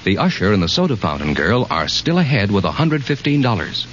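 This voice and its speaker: A man's voice: lowish voice, sing-song, fairly quick, a bit bright, slight background noise. A man announcing a quiz show in the style of 1940s radio. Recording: dull old 1940s radio recording.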